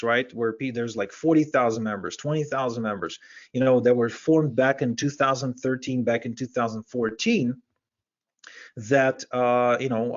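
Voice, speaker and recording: A mid-pitched man from Ukraine, Russian by first language, runs a webinar as an online marketing coach. His delivery is brisk and persuasive, full of quick restarts. The sound is dull and lo-fi. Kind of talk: webinar sales presentation.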